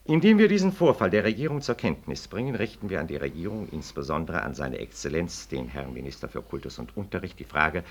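Radio drama: low-voiced, self-righteous male professor, formal, with sweeping emphatic intonation. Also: sing-song, a little fast, hardly any pauses, heavily acted. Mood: declamatory, pointed. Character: pompous, self-righteous senior medical professor. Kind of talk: 1960s German radio play acting.

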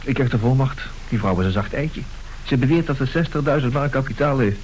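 A man acting in a radio play as a scheming marriage swindler, brisk and commanding. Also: mid-range voice, a little mellow, natural voice, poor audio. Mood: businesslike, cynical. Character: smooth scheming marriage swindler, businesslike rogue boss. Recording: hissy old radio recording.

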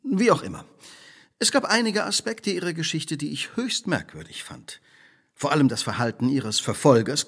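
Sharp self-assured detective guy, lively swooping intonation, precise and imperious, radio drama. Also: mid-range voice. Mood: probing, composed. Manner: precise, probing, imperious deduction. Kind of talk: dramatic radio play dialogue.

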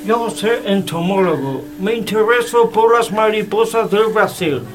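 An actor plays a smooth, evasive agent in a radio drama. His voice is high for a man, with music clearly playing behind. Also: a little slow, poor audio. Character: smooth-talking, evasive agent of foreign interests. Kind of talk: radio play acting.

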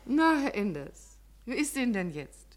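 A woman's voice: fairly high pitch, big pitch swings, normal speed. An actress playing a caring, composed woman helper in a 1950s German radio drama, speaking politely and soothingly. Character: caring, composed unmarried woman giving nursing help.

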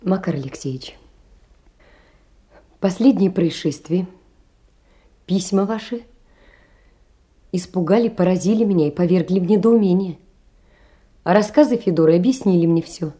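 An actress playing a poor, tender young woman in an audio play, earnestly and sorrowfully reproaching in measured literary phrases. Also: on the low side, dark tone, breathy edge. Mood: reproachful, troubled. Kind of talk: theatrical audio play, reading a letter aloud.

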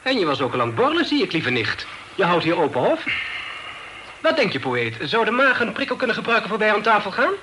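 An actor playing a hearty, teasing older uncle and master of an estate in a radio play, full of banter. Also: male voice, high voice, wildly swooping pitch, fast. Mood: jovial teasing. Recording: noisy old radio play recording.

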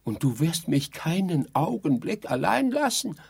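Trapped soul, exasperated, emphatic, fairly high voice, very animated pitch, theatrical radio drama. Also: male voice, measured pace, creaky, breathy edge, heavily acted. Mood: despairing, defiant. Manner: impatient, emphatic. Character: exasperated newly dead man trapped with his tedious companion.

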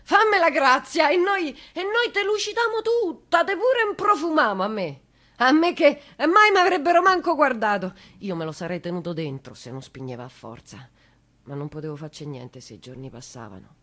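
A woman's voice: high-pitched, expressive, normal speed, sharp tone. A woman playing a grieving, bitter lone mother in a theatrical monologue, with a Roman accent and intimate, broken, repetitive delivery swinging from murmurs to cries. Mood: pleading fervor, then bitter resignation.

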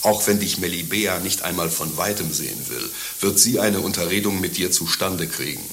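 A man's voice: deep voice, normal speed, vocal fry, fairly bright tone, slight background noise. A man playing a worldly, sly confidant in a German radio play, declaiming with teasing emphasis. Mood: reassuring. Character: worldly, sly male schemer, cheeky confidant to a friend.

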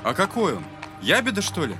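An actor playing an earnest, gentle young man in an audio drama, polite and pleading. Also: high-pitched, huge pitch swings, a little fast. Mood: earnest, questioning. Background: music.

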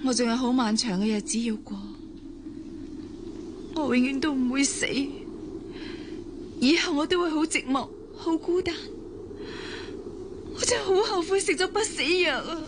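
Actress as high-voiced, sorrowful immortal woman, Hong Kong Cantonese. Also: normal speed, long pauses, background noise, natural voice. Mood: bitter, lonely. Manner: emotional, pleading, melodramatic. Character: immortal woman in her eighties who looks twenty, lonely, sorrowful. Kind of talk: Cantonese radio drama acting. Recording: music under the voice.

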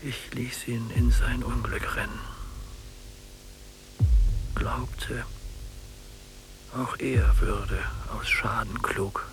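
An older man acting in a literary radio drama as a bitter, mistrustful old blind prisoner, halting and brooding. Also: mid-range voice, steady tone, fairly bright tone, slight background noise, poor audio. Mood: brooding regret. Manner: slow, halting, brooding delivery.

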